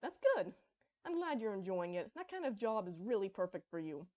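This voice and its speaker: A woman's voice: medium pitch, sing-song, a little fast, a little mellow, highs cut off, natural voice. A woman voicing a friendly, easygoing female unicorn pony in a story reading. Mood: warm. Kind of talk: fan fiction story reading.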